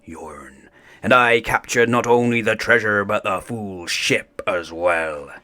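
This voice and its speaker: A man's voice: on the low side, takes it slowly, bright, forceful tone. A man voicing a pompous, suspicious pirate admiral with melodramatic delivery in a children's storybook. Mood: boastful.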